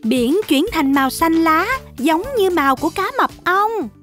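A woman's voice: extremely high pitch, expressive, moderate pace, soft background music. An actress voicing a sweet mother shark who guides young viewers in a Vietnamese children's cartoon. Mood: cheerful.

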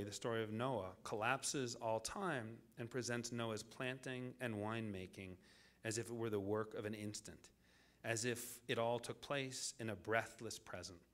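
A man delivering a scripted public lecture as the lecturer, in deliberate, measured phrases with dramatic pauses. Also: fairly low pitch, fairly bright tone.

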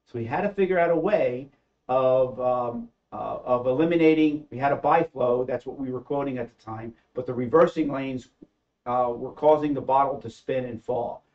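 Middle-aged man, casual engineer explaining his invention, halting with fillers, slightly muffled studio sound. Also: mid-range voice. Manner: hesitant, many fillers. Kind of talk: casual panel discussion. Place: studio set with backdrop.

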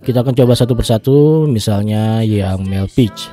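A man in his twenties hosting a casual software tutorial, chatting to viewers as friends from a small home room on a studio mic. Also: lowish voice, moderate pace, soft background music. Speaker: YouTube tech tutorial host. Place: small home room lit with blue LED lights. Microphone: large studio mic on a boom arm.

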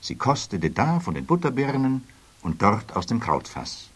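A man narrating a children's story in an expressive, scripted storytelling manner. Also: mid-range voice, normal speed, husky.

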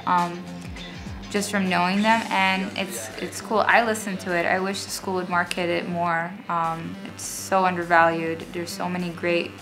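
A woman's voice: on the low side, little pitch movement, measured pace, low-quality recording. A young woman, a college student, chatting casually in an interview. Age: young adult. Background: music.

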